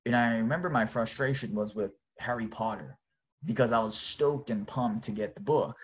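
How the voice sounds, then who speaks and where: A man's voice: fairly low pitch, normal speed. A man whose native language is Japanese, chatting casually to his listeners in an informal, exclamatory way. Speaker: host.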